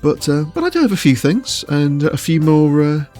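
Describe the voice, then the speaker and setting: A man's voice: a little high, very animated, moderate pace, darkish tone, soft background music. A man giving casual interview answers as a voice actor, choosing his words with hesitation and often chuckling.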